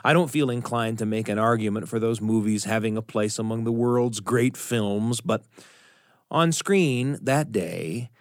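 A middle-aged man narrates a personal story in an earnest, reflective manner, pausing deliberately for emphasis. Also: medium pitch, dry recording, studio quality. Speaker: radio storytelling show host.

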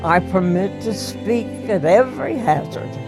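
A woman reading poetry aloud for a documentary, slow and halting, with long pauses between phrases. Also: mid-range voice, animated, over music.

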